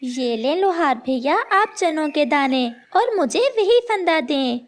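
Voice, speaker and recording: A woman voicing a caring, gentle mother sparrow in a Hindi children's cartoon, with simple, clearly addressed lines. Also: high-pitched, expressive, slow. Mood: earnest, kindly.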